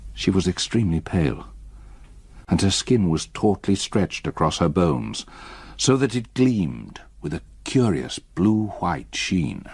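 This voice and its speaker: A haunted English gentleman telling his own ghost story in a radio drama. His voice is on the low side, with sombre, carefully measured literary phrasing. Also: gravelly, husky, no room sound, full character voice. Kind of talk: first-person scripted narration, radio drama.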